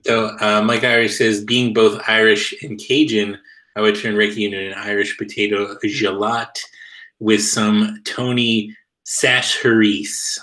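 Lower-pitched man, casual co-host. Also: level tone, a little slow, pushed and bright. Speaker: show co-host. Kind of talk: casual banter, reading out viewer comments. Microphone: video call.

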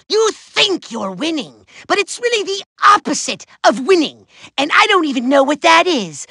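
A man voicing a short-tempered megalomaniac villain in a giant robot body for a video game, shrill, raspy and nasal, pompous, bombastic and gloating. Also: pushed and bright, no room sound, heavily acted. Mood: mocking, raging. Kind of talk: theatrical cartoon villain acting.

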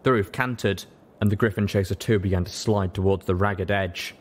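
A man's voice: low-pitched, fairly even intonation, moderate pace. A man reading scripted story narration as an audiobook narrator. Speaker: audiobook reader.

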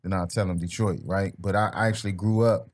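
A man's voice: sits low, steady tone, brisk. An American man from the Maryland and DC area chatting casually as a podcast guest, with slangy, repetitive, emphatic phrasing. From Maryland and Washington DC area, US. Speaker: podcast guest, music producer. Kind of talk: casual chat. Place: small podcast set indoors. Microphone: podcast microphone.

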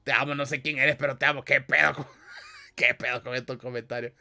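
A man's voice: medium pitch, level tone, a little fast, creaky, sharp tone. A young man with a Honduran accent, chatting casually on a livestream from a small bedroom, reading comments with jokes, slang and frequent laughs. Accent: Honduran Spanish. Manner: joking, slangy, laughs often. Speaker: streamer. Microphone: headset with desk mic.

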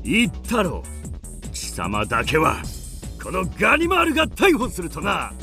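A man's voice: high voice, huge pitch swings, measured pace, creaky, pushed and bright, faint music behind, exaggerated voice. A man acting a gloating, stern French police inspector in a radio drama, in a theatrical manner. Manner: theatrical, triumphant.

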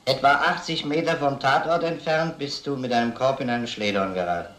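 An actor playing a methodical police detective in a crime radio drama, questioning in a measured, insistent way. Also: male voice, mid-range voice, a bit bright, reverberant, natural voice. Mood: probing. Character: probing, methodical male police detective interrogating a suspect.

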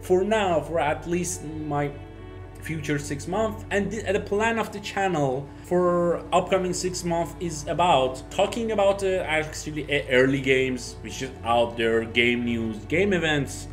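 A young man from Iran, a native Persian speaker, chatting casually to camera in halting, Persian-accented English as a YouTube host. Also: fairly high pitch, soft background music. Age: young adult. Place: small home office, indoors.

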